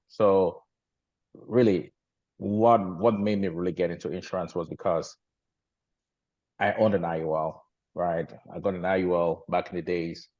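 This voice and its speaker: Guest speaker: very deep-voiced man in his 40s, from Cameroon, halting and filler-heavy but emphatic, muffled lo-fi call audio. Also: darkish tone. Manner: halting, many fillers and restarts, grows emphatic. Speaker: guest agency leader. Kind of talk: casual storytelling on a team call. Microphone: video call.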